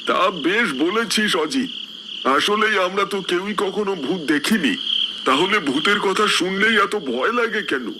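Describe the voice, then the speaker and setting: A joking young village man , acted by a man, talks casually with friends in a cartoon. His voice sits high and swings expressively, with clear insect noise around it. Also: expressive, unhurried. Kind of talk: cartoon dialogue. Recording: noisy night ambience with insects.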